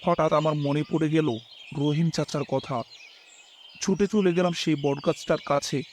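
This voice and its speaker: A man's voice: on the high side, steady tone, measured pace, darkish tone, a little hiss or hum. A man reading a scripted first-person horror story as its narrator. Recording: music behind the voice.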